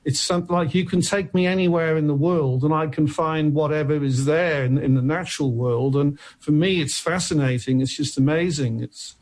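Man, radio guest, earnest, halting, slightly high voice. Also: a little mellow. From Wales. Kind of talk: radio interview.

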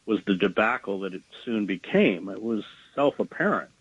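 Husky low-voiced man, earnest and halting. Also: lively intonation, no room sound, highs cut off. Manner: earnest, halting with many fillers. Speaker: guest, journalist. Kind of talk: political interview.

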